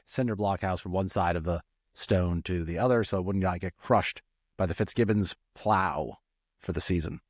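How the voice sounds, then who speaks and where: A man's voice: low voice, no echo, clean studio sound. A man reading a storybook aloud as narrator, slipping into chatty, informal asides. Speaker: storyteller and audiobook reader.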